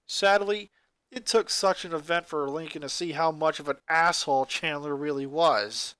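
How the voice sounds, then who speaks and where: A narrator reading a scripted story aloud in an expressive way. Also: medium pitch, moderate pace, clean tone, dry recording, poor audio.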